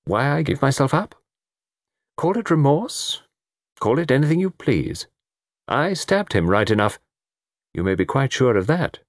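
A man voicing a suave young foreign gentleman in an audiobook, theatrical, easy and mockingly defiant. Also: medium pitch, very animated, unhurried, long pauses, no echo, studio quality, voice pushed up. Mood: airy nonchalance. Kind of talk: audiobook character dialogue.

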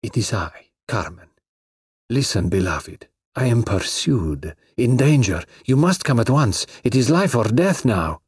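A man reading a story, voicing a frightened young woman with a soft, caressing voice and a trace of foreign accent who pleads urgently. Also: medium pitch, sing-song, unhurried, slightly rough, dry recording, studio quality, exaggerated voice. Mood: urgent pleading.

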